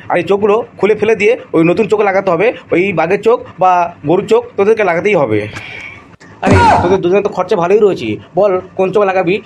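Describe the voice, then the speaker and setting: A man plays a crooked cartoon quack doctor who brags and blusters. The voice is high for a man and races along very quickly, with some noise behind it. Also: a little mellow, close to an everyday voice. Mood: brisk. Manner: bragging, blustering. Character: boastful shady quack doctor, male, comically crooked. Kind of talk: comic cartoon dialogue.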